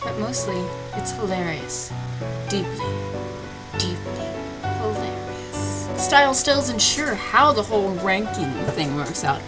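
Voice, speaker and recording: A woman reads a story aloud in a sarcastic, theatrical way and adds mocking commentary. Her voice sits at a middle pitch, with music playing clearly behind it. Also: poor audio. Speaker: host reading fan fiction aloud. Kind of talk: sarcastic dramatic reading with commentary.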